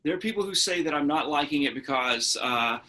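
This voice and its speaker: A man's voice: fairly high pitch, animated, a little fast, bright, forceful tone. A middle-aged man co-hosting a podcast, ranting heatedly and profanely in casual chat. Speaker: podcast co-host.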